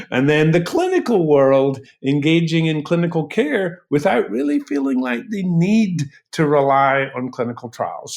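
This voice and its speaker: Creaky, higher-pitched man explaining as guest expert. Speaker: guest expert, physician researcher. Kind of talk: explanatory interview talk.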